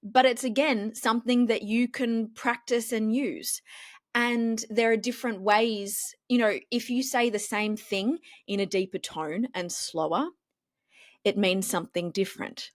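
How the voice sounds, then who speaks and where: A woman, a TV journalist and confidence coach, talking in a casual interview with an expressive, strong reporter-style delivery. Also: on the high side, a little slow, no room sound, studio quality.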